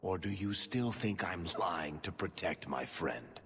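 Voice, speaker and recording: A husky, wry male storyteller. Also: low voice, expressive, unhurried, a bit bright, exaggerated voice. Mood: defensive. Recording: game audio with long reverb.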